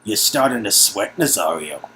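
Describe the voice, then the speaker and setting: A reader plays a smirking prison guard from a game story. The lines swing up and down with mocking, drawn-out menace. Faint noise sits behind the voice. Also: deep voice, sing-song, sharp tone, heavily acted.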